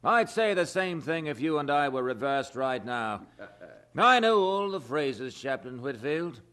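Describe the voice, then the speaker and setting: An actor playing a cynical, tippling minister in an audio drama, with a mocking, glib manner. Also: male voice, medium pitch, very animated, unhurried, fairly bright tone. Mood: mocking, amused. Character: cynical, tippling, self-justifying tavern-going minister.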